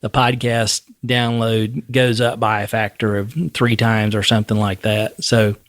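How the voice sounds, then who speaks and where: A man's voice: lowish voice, flat delivery, a little slow, fairly bright tone, no room sound, clean studio sound. A man hosting a podcast in casual chat, self-deprecating and joking, often laughing. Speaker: podcast host.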